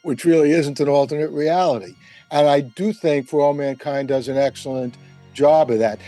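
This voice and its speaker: Male roundtable panelist, slightly high pitch, warm mellow tone, articulate, measured, thoughtful delivery. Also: faint music behind.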